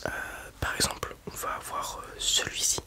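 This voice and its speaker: Whispering ASMR roleplayer, sex unclear. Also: normal speed. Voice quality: breathy, unvoiced whisper. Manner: soft-spoken, hesitant, explanatory. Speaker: ASMR performer playing a dragon breeder.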